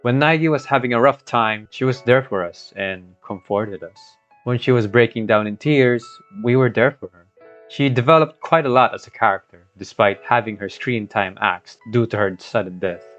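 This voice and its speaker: A man's voice: medium pitch, moderate pace, a bit bright. A young man with a Filipino accent narrates a game playthrough from a script, with casual asides. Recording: dull scuffed mic, music behind.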